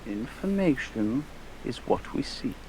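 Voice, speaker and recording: Genteel adventurer acted by a man, formal, measured, courteous yet insistent, tabletop roleplay. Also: mid-range voice, very animated, darkish tone, slight background noise, low-quality recording. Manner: genteel, measured, old-fashioned formal phrasing. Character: polite, formal male adventurer, insistent gentleman investigator.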